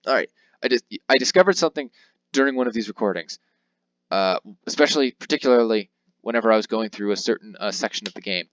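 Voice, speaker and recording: A man's voice: lowish voice, animated, quick pace, fairly bright tone, dry recording. Young man, rambling game commentary, self-deprecating, muffled dry home recording. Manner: rambling, self-deprecating, reads game text aloud in voices. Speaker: let's play host. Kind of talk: casual gameplay commentary.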